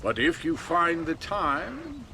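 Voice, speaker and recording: A man's voice: fairly high pitch, very animated, slow pace, noisy background. A swooping, florid showman. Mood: coaxing. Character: florid, excitable male moving-picture showman of the Old West. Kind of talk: video game character acting.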